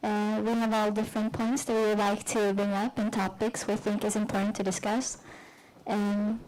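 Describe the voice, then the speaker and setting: A woman's voice: mid-range voice, little pitch movement, moderate pace, darkish tone, faint music behind, poor audio. A nineteen-year-old woman from Sweden introducing a youth panel at a seminar. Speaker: youth representative.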